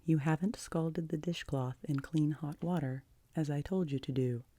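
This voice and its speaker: Casting: woman to play a no-nonsense older guardian in a storybook, low and mellow, firm, curt, matter-of-fact. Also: normal speed. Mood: immovable. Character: stern, practical, unimaginative older guardian woman. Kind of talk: audiobook character voice.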